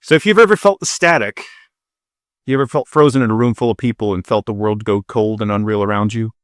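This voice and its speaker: A man with a fairly low voice speaks a personal, scripted monologue. He is solemn and deliberate, breaking his thoughts into short phrases with weighty pauses. The sound is very dry, with no room echo. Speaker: video channel creator and host.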